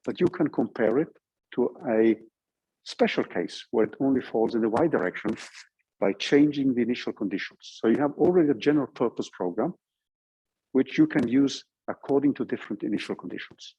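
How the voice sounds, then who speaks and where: A male university lecturer with a Norwegian accent talks in an informal, explanatory way. His voice is mellow and rather husky, at a middling pitch. He walks through the material step by step, checking in as he goes. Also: fairly quick, lo-fi sound. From Norway.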